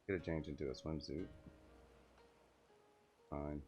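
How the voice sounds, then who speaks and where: Very low-voiced man streaming a game. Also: steady tone, a little slow, dark tone, dull audio, soft background music. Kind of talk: reading game dialogue aloud, casual commentary.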